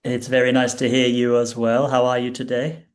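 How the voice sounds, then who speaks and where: A man with an English accent, a guest teacher speaking politely and calmly to students on a video call. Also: medium pitch. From England. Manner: polite, measured, reassuring. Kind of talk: live online class conversation.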